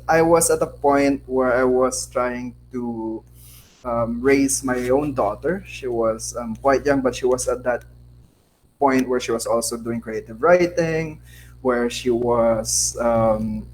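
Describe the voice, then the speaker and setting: A Filipino man talks in a measured, thoughtful way, pausing on fillers as he explains. His voice is mid-pitched, and a faint hum sits under the recording. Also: poor audio. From Philippines. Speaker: scholar and co-author. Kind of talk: casual interview chat.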